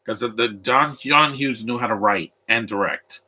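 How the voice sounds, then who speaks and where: Bright-voiced man rambling through film commentary. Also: mid-range voice, normal speed. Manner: rambling, stop-start with repeated restarts. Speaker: movie commentator. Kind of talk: casual live movie commentary.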